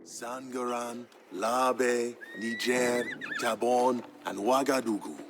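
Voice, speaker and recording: An actor playing a proud West African griot, a solemn royal bard narrating a game's story in a deliberate, grave, theatrical manner. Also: male voice, mid-range voice, steady tone, noisy background, close to an everyday voice. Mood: solemn, dramatic. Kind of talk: dramatic scripted storytelling narration for a game. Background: music.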